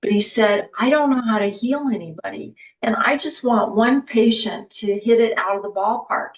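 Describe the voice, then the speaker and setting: A woman telling a long story in casual chat as a podcast guest, animated, with filler words. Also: a little high, normal speed, husky. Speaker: patient's wife, podcast guest. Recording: muffled lo-fi podcast audio.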